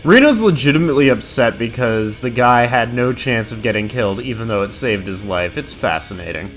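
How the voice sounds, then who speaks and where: Guy doing a countdown review voiceover, animated, sweary and casual, music underneath. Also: medium pitch, brisk. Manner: casual, profane. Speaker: video reviewer and host. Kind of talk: scripted countdown review commentary.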